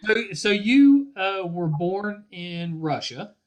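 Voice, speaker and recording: A man's voice: high-pitched, takes it slowly, rough recording. Easygoing man hosting an interview. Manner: relaxed, repeats words as he restarts. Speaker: podcast host, interviewer. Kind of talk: casual interview chat.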